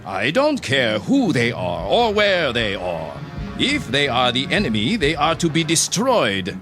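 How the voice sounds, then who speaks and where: An actor playing a ruthless, contemptuous Japanese army captain in a radio drama, commanding and cold, with deliberate, emphatic phrasing. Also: male voice, medium pitch, huge pitch swings. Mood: cold, menacing. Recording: music under the voice.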